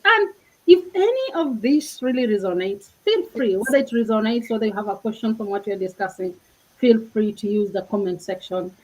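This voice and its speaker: A woman's voice: medium pitch, darkish tone, breathy edge, low-quality recording. A woman with a Kenyan accent hosts an inspirational panel talk in an earnest, reflective way. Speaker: host.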